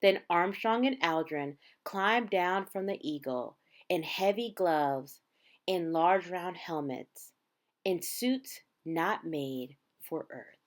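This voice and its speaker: A woman reading a children's picture book aloud, with measured, dramatic phrasing and drawn-out words. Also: on the low side. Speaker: storybook reader.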